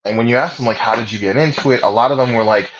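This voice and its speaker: A man's voice: mid-range voice, racing, no room sound, dull audio. A man hosting a casual conversation, talking in a rambling way with many fillers and restarts. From Puerto Rican heritage, raised speaking English. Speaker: podcast host.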